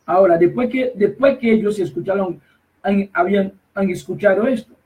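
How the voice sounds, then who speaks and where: A man with a fairly high voice preaches insistently, repeating himself and breaking off mid-phrase. He stands some distance from the microphone, so his voice sounds set back in the space. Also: darkish tone. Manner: emphatic, repetitive, halting with restarts. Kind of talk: preaching commentary.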